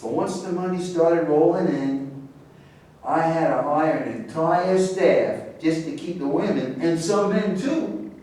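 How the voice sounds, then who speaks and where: A man in a staged reading playing a measured, worldly former celebrity. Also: on the high side, dark tone, close to an everyday voice. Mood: reminiscing. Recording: heavy room echo, far mic, faint hiss.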